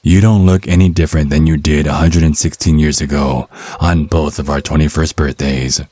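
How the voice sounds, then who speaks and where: Man playing a very deep, husky, cocky vampire. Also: fairly even intonation, warm tone, dry recording. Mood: taunting, composed. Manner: sardonic, mocking, slow deliberate phrasing. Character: cocky, taunting male vampire hunter, youthful but over a century old. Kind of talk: ASMR roleplay monologue.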